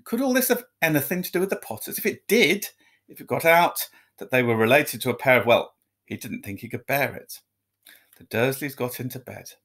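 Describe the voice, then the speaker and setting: A male teacher reads a story aloud. His voice is medium in pitch and highly animated, rising and falling expressively through the narration. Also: fairly quick.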